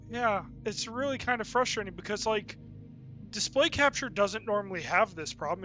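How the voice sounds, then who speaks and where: A man's voice: high-pitched, sharp tone. A man giving casual, unscripted game commentary as a streamer, rambling and thinking aloud as he goes.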